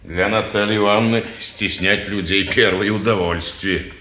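A man's voice: lowish voice, fairly even intonation, normal speed, pushed and bright, a little hiss or hum. An actor playing a peevish, embittered salesman, grumbling sententiously and indignantly in an old stage manner. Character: peevish, embittered sewing machine salesman, a husband. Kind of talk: mid-century Russian stage acting. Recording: roomy 1950s theatre recording.

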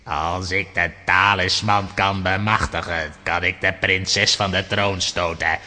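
A scheming sorcerer from a children's radio play, hungry for power. The voice is low and piercingly tense, and he draws out his menacing orders at a slow pace. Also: little pitch movement, sharp tone, big-room sound, soft background music, full character voice. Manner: commanding, menacing, drawn-out delivery. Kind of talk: children's audio drama acting.

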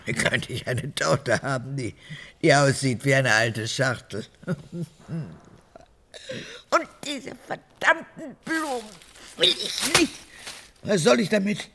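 Husky, capricious old woman. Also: a bass voice, big pitch swings, a bit bright, heavily acted. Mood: vain, petulant. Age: 80s. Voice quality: husky, cracked old voice, often falling to breathy whisper. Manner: theatrical, erratic, halting then snapping. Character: vain old mother, unrepentant former SS officer, capricious. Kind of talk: German radio drama acting.

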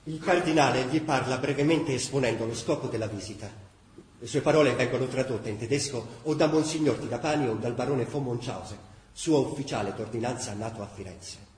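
A male actor playing a troubled diarist who witnesses his occupied city, reading solemnly and deliberately in a radio drama. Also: mid-range voice, a little hiss or hum, natural voice. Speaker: diary reader in a radio drama. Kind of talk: dramatic reading of a wartime diary. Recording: roomy, echoing radio theatre sound.

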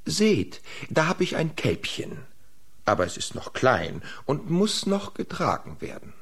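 An actor playing a sly, poor peasant who schemes and insists, in animated fairy tale radio play dialogue. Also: medium pitch, measured pace, slightly rough, heavily acted. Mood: persuasive.

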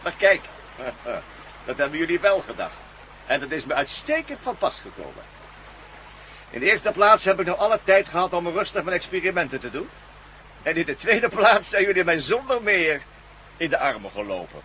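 Gloating, high-pitched villain, sharp and animated. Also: male voice, moderate pace, a little hiss or hum, full character voice, poor audio. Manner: sneering formal politeness, laughs at his own cleverness. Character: gloating German villain, former Nazi scholar, mocking and menacing. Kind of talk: radio play acting.

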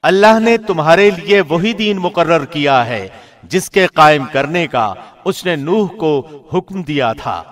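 A man with a slightly high voice narrates translated scripture. His delivery is formal, measured and solemn, like a careful scripted reading. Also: expressive.

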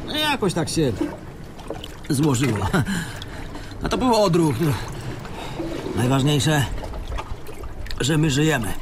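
A man's voice: on the high side, big pitch swings, takes it slowly, pauses often, background noise. A man playing a sardonic, self-assured plane-crash survivor muttering colloquially while adrift at sea in a radio play. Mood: breezily confident. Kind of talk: Polish radio play dialogue. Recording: loud splashing water sound effects behind. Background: sea water sounds.

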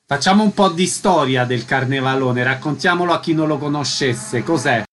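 A man's voice: medium pitch, normal speed, fairly bright tone, faint music behind. A man hosting a radio interview, introducing guests and asking questions.

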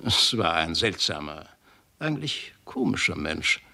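An actor playing a friendly, skeptical old doctor who explains things in a scholarly, deliberate way in a 1960s German radio drama. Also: male voice, low voice, big pitch swings, creaky, bright, forceful tone, heavily acted. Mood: thoughtful skepticism. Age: older. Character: friendly old doctor, skeptical, learned leper-clinic director.

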